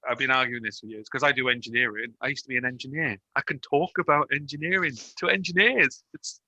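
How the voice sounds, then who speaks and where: A man's voice: medium pitch, fairly even intonation, fast talker, bright, forceful tone, dry recording, poor audio. A man with a Welsh accent hosting a podcast in a chatty, joking way, laughing often. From Wales. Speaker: podcast host. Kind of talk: casual podcast chat.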